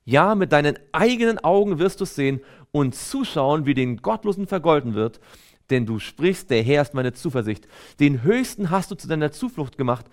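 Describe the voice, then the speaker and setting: A man's voice: fairly high pitch, animated, moderate pace. Earnest male preacher delivering a sermon. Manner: earnest, exhortative, emphatic phrasing. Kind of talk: sermon with scripture readings.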